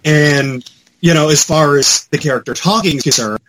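A man co-hosting a podcast in casual chat about pop culture, talking in an animated, rambling, mildly profane fan style. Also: on the high side, normal speed, rough recording. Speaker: podcast co-host.

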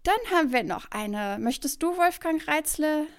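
A woman co-hosting a casual podcast, speaking German that is not her first language and often hunting for the right word. Her voice sits a little high, and the sound is dry and close to the mic. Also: expressive, moderate pace, no echo. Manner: chatty, laughing, stumbles over German words. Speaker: podcast co-host.

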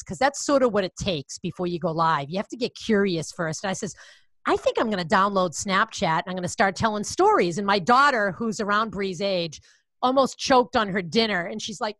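A woman's voice: medium pitch, pushed and bright, dry recording. A woman in her fifties with a Boston accent, hosting a podcast interview in a bubbly, gushing way. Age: 50s. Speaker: podcast host. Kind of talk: casual interview chat.

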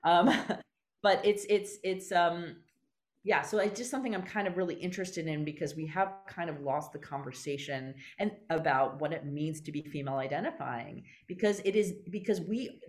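A woman in casual, candid conversation, halting as she searches for words and speaking bluntly. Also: fairly low pitch.

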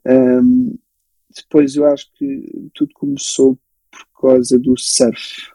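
A young man with a European Portuguese accent talks casually as an interview guest. His voice is very dark and mellow, with a gravelly creak and a husky edge. He tells his story in a conversational way. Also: mid-range voice, flat delivery, slow, no echo, rough recording. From born in Belgium, grew up in Portugal from age six. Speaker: interview guest, photographer and filmmaker.

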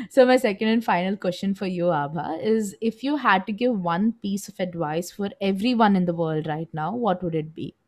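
A woman's voice: medium pitch, normal speed, darkish tone. A young woman around twenty hosts a casual podcast interview, posing questions and readily voicing agreement with her guest. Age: young adult, around twenty. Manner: affirming, often echoes agreement. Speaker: podcast host.

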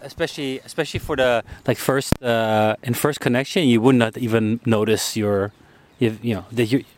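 A man interviewing a guest casually outdoors in a park, speaking in a relaxed, conversational way with fillers and restarts. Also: mid-range voice, normal speed, a little hiss or hum. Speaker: street interviewer.

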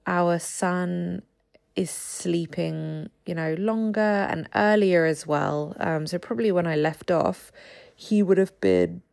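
A low-pitched woman in her thirties chats through her podcast, her just-woken voice raspy with gravelly fry. She talks in a loose, friendly ramble with plenty of ums and little laughs. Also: lively intonation, slow, a little mellow. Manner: chatty, laughs often, many ums. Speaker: podcast host. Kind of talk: casual solo podcast chat.

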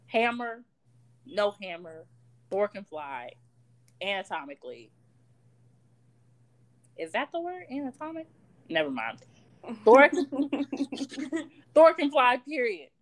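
Casting: twenties woman, podcast co-host, bubbly, laughs often, lively sing-song pitch. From Chicago, Illinois. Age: 20s. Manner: bubbly, laughs a lot, animated swings in pitch and volume. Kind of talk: casual chat.

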